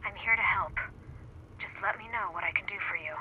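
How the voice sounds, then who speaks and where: A woman's voice: mid-range voice, brisk. Tinny radio voice, composed female agent. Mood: supportive. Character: composed, loyal, pragmatic female agent handler. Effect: radio communicator filter. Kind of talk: video game cutscene acting. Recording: tinny radio link, faint noise.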